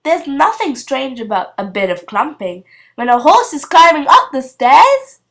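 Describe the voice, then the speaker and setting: High, very expressive woman storyteller. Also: measured pace. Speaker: storybook reader. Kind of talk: expressive children's story narration.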